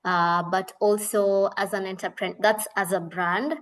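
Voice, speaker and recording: A woman from Kenya, a founder speaking in a measured, thoughtful way on a panel. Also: medium pitch, steady tone. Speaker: founder of a women-run craft enterprise. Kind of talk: panel discussion.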